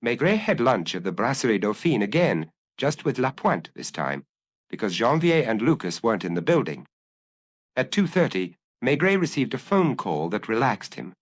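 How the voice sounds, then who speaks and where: Man, audiobook narrator, lowish voice, animated melodic range, dry close sound, measured literary storytelling. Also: no echo. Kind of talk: scripted detective story narration.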